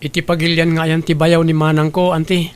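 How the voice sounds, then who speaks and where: Man as dutiful younger brother, fairly high voice, hesitant, respectful, radio drama. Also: monotone, fairly quick, clear, resonant tone, close to an everyday voice. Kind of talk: Ilocano radio drama acting.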